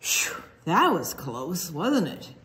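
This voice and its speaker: Animated, unhurried woman reading to children. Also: on the low side, slight background noise. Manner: expressive, drawn-out words, hushed asides. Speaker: children's storytime reader. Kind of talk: animated picture-book read-aloud to young children.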